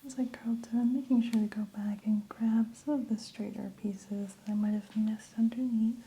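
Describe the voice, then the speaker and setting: A woman whispering breathily in an ASMR roleplay, gently narrating each step as a hairstylist. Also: fairly high pitch, fairly even intonation, normal speed, a little hiss or hum.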